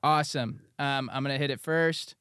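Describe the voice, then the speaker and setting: A man's voice: mid-range voice, steady tone, fairly bright tone, clear, resonant tone, dry recording, clean studio sound. A young man hosting a podcast, asking questions in an eager, upbeat, casual way. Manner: upbeat, eager, quick asides. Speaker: podcast co-host.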